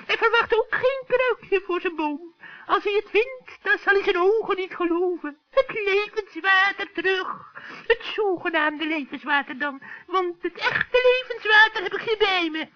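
A woman's voice: very high voice, a little slow. A woman playing a gleeful, scheming old witch in a children's radio play, gloating and cackling as she talks to herself. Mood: sly, gloating. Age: older. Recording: dull lo-fi old radio recording.

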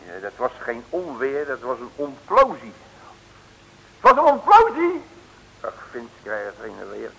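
An actor playing a brash, unflappable, excitable detective in a comic Dutch radio serial, with blustery, colloquial delivery. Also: male voice, mid-range voice, unhurried, creaky, husky, full character voice. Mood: breezy, boastful. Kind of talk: 1950s-60s comic radio drama acting. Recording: hissy old radio recording, long reverb.

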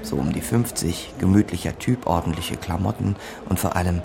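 Low-voiced, wry con man. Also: normal speed, creaky, over music. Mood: wry, scheming. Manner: conspiratorial, dryly wry asides. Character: smooth small-time con man narrating his own schemes. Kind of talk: German crime radio play narration.

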